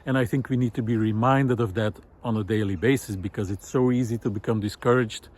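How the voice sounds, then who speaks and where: A man giving an informal, reflective solo talk in a thoughtful, halting way, pausing deliberately for emphasis. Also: lowish voice, steady tone, a little mellow. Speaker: host of a personal audio series.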